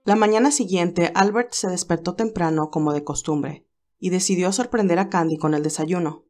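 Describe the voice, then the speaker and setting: A woman reading a romance novel aloud in a calm, even storytelling voice. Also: fairly low pitch, moderate pace, faint music behind. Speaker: narrator reading a fan novel. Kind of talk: audiobook narration.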